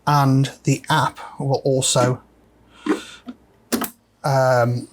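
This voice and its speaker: A man's voice: mid-range voice, flat delivery, drawn-out words, noisy background. A man hosting a casual, unscripted repair walkthrough, thinking aloud hesitantly as he works. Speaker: host of a repair video.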